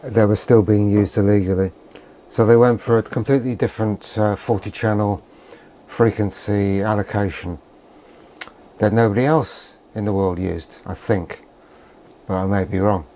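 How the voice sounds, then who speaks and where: Low, mellow older British man, dryly grumbling. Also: a little hiss or hum. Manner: dry, grumbling, sardonic. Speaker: presenter of a vintage radio video. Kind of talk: rambling unscripted vlog commentary.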